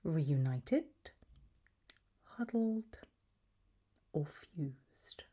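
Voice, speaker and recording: Breathy, very slow whispering woman. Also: sits low, lively intonation. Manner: slow, deliberate, softly instructive with long pauses between options. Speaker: ASMR roleplay performer acting as an examiner. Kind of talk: whispered ASMR roleplay.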